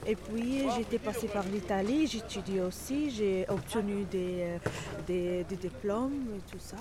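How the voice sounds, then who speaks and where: A middle-aged woman, a native Arabic speaker, answering interview questions haltingly in non-native French, searching for words. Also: mid-range voice, crowd noise. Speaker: interviewee, home care worker.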